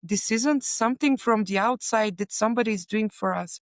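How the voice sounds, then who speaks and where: A woman from Bulgaria, a plant medicine facilitator, speaking as an interview guest in a soft, earnest way with frequent pauses and fillers. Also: medium pitch, no echo, studio quality. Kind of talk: casual interview talk.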